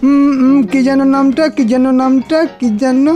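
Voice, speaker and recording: A greedy, scheming royal minister in a cartoon. The voice is high and theatrical, full of obsequious flattery, with music underneath. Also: male voice, normal speed. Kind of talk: Bengali comedy cartoon voice acting.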